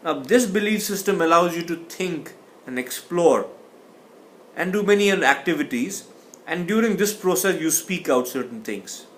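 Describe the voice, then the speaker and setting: A man's voice: on the high side, animated, moderate pace, slight background noise. A man teaching a communication class, speaking thoughtfully and often restarting or repeating words. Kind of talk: explanatory lecture.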